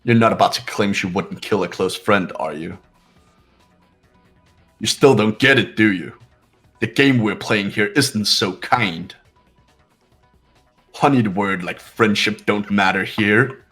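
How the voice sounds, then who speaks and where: A man's voice: fairly low pitch, slow, pauses often, fairly bright tone, faint music behind. A man voicing a cold, arrogant young heir who dismisses others with condescension. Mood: condescending. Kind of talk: fan voice acting of a game.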